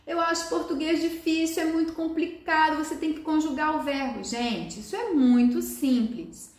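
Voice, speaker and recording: Woman teacher going slow, high voice, spells out example words one by one, kinda echoey room. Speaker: Portuguese teacher. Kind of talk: relaxed video lesson.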